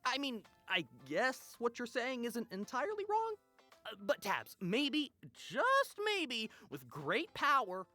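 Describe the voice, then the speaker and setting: A woman's voice: fairly high pitch, huge pitch swings, slow pace, pushed and bright, soft background music, exaggerated voice. A woman playing a small male magical companion in a visual novel, an anxious, earnest conscience who pleads and hesitates. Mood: uneasy, hesitant. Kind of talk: voiced visual novel acting.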